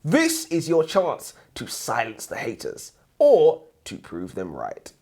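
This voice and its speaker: A man's voice: on the high side, wildly swooping pitch, slow pace, mellow. A man co-hosting a book review show, giving opinions in a slangy, bantering, conversational way.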